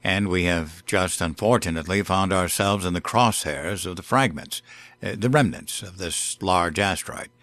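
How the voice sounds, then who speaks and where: An actor playing a measured space scientist interviewed in a radio drama, speaking formally and carefully with hesitations. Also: male voice, deep voice, a bit bright, no room sound. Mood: hesitant, grave. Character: measured, hesitant male space scientist, expert news guest.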